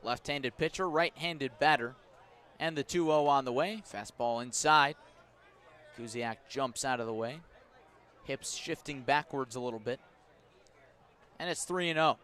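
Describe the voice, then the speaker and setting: A man doing live baseball radio play-by-play, with a measured delivery that lifts on action calls and descriptive asides between pitches. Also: fairly bright tone, some chatter behind. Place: outdoor ballpark broadcast position above the stands.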